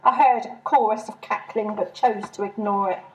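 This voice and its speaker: A woman's voice: mid-range voice, steady tone, normal speed, a little mellow, lo-fi sound. A woman reading her own humorous short story as a first-person narrator.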